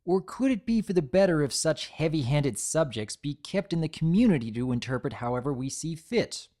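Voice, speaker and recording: A man's voice: fairly high pitch, sing-song, a little fast, dark tone. A man hosting a fan channel, giving scripted analysis commentary in an earnest, formally worded way.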